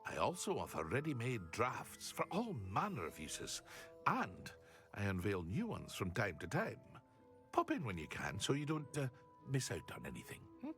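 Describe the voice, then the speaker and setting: A man's voice: on the low side, wildly swooping pitch, normal speed, a bit bright, soft background music. A man voicing a chatty, enthusiastic potion shopkeeper in a video game, with a British accent and a theatrical way of savouring his words. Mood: eager, inviting.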